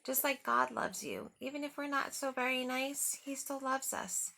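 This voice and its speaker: A woman's voice: high-pitched, fairly even intonation, normal speed, gravelly, sharp tone, slight background noise. A woman reading a picture book aloud to young children, talking simply and adding little questions and asides. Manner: simple, child-directed talk with rhetorical questions. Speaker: storyteller for children.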